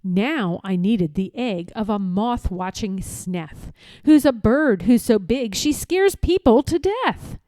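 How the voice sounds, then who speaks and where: A woman reading a rhyming children's storybook aloud in an expressive, playful way, with deliberate and emphatic phrasing. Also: on the high side, dry recording.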